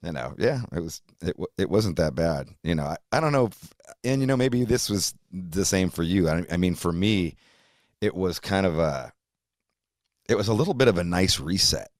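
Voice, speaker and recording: A man's voice: deep voice, expressive, moderate pace, no echo, studio quality. A man in his fifties hosting a podcast, chatting loosely and rambling with lots of "like" and "you know". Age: 50s. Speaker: podcast host. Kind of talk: casual interview chat.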